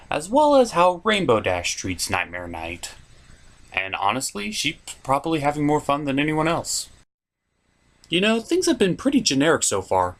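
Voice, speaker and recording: A man delivers scripted comedy review narration with a very lively, swooping pitch. His tone is sarcastic and exasperated as he hosts. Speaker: host of a comedic cartoon review show.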